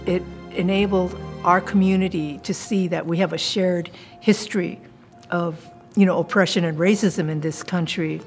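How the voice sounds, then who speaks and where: An older woman with an American accent, speaking earnestly and in a measured way in a documentary interview. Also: lowish voice, fairly even intonation. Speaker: community activist interviewee. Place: indoor room, seated filmed interview. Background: music.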